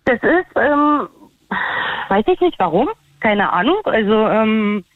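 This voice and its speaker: A woman's voice: a little high, sing-song, dry recording. Woman, Berlin accent, hesitant caller, tinny phone line, dry sound. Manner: halting, hesitant. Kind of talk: call-in radio chat.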